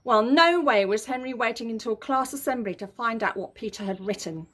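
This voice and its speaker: Expressive woman reading a children's story. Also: on the high side, a little fast. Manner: dramatic, emphatic. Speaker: story reader.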